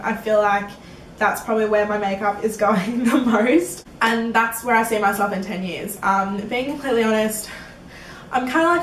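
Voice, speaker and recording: A woman's voice: on the high side, a bit bright. Giggly 18 yr old girl doing a beauty q&a, lots of um and like, roomy echo, a bit far from the mic, faint hiss. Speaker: beauty vlogger. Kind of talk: casual Q&A vlog.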